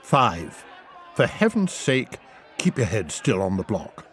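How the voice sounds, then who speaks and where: A man's voice: on the low side, huge pitch swings, takes it slowly, fairly bright tone, some chatter behind, full character voice. A man voicing a blunt, darkly jovial Tudor executioner with an English accent, giving matter-of-fact advice in a comic audiobook. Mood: cheerfully grim. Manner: matter-of-fact, advising, colloquial. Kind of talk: comic audiobook character reading.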